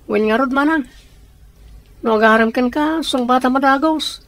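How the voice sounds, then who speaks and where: An actress playing a gentle, teasing younger sister in an Ilocano radio drama, with an Ilocano accent. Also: female voice, high voice, moderate pace, no room sound, natural voice, poor audio. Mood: curious, encouraging.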